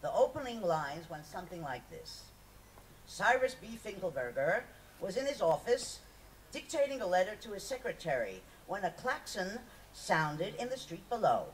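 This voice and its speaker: A woman's voice: mid-range voice, sing-song, normal speed. A woman reading a memoir aloud to an audience at a live event. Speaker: reader at a poetry festival. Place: live festival reading, picked up from a distance. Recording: distant mic, noisy live event recording.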